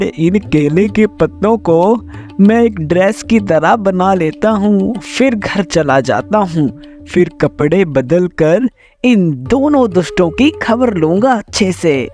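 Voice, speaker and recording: A man's voice: high-pitched, expressive, slow, a little mellow, soft background music. A man voicing a blustering, put-upon village man in a cartoon story, exclaiming and complaining dramatically. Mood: relieved. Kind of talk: Hindi cartoon story voice acting.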